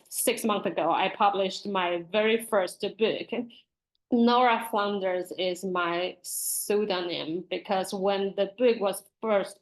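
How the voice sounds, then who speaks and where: A middle-aged woman with a Taiwanese accent gives an informal online presentation, speaking haltingly and often repeating her words. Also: mid-range voice, poor audio. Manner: halting, with frequent repeated words and nervous laughter. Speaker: presenter, school librarian and author. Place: online video call.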